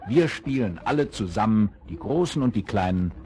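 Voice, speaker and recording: A man's voice: on the low side, lively intonation, moderate pace, close to an everyday voice. A man playing a jovial father running games at a children's party in a 1970s radio play. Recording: hissy old vinyl record.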